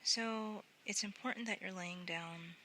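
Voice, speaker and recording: A woman guiding a sleep meditation in a gentle, soothing, unhurried way, often softening into breathy near-whispers. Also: medium pitch, steady tone, a little hiss or hum. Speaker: meditation guide.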